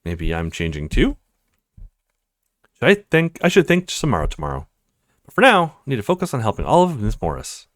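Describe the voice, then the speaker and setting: Man reading as an earnest, expressive young male student. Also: medium pitch, brisk, pauses often, dry recording, clean studio sound. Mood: pensive, burdened. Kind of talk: visual novel character reading.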